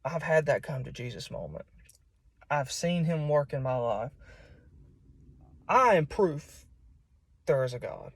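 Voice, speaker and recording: A man's voice: on the high side, expressive, a little slow, creaky, pauses often, husky, dry recording. A young man with a Southern US accent, preaching in an informal, folksy and conversational way. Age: young adult. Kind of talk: informal sermon. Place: solo self-recording.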